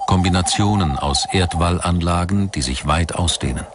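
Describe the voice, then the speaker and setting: A man's voice: low voice, moderate pace. A man reading scripted documentary narration as the narrator. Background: music behind the voice.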